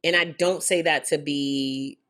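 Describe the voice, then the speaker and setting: A woman's voice: low-pitched, steady tone, unhurried, a bit bright. A young woman, Haitian American, co-hosting a podcast in casual, joking chat. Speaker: podcast co-host.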